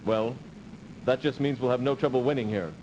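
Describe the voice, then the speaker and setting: An actor playing a dutiful, cautious officer who gives formal, measured briefings in a video game cut-scene. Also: male voice, medium pitch, darkish tone, lightly acted. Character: dutiful, cautious male officer briefing his space commander. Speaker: military adviser in a video game. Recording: dull, noisy old CD game audio.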